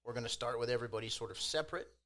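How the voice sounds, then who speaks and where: A man's voice: mid-range voice, very fast, a bit bright. A man narrating and hosting a tabletop fantasy game as game master. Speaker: game master and podcast host.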